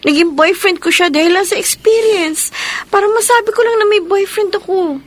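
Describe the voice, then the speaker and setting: An actress playing an anxious, gentle young woman in an emotional, earnest radio drama performance. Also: extremely high pitch, moderate pace. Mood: flustered. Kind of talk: horror radio drama acting.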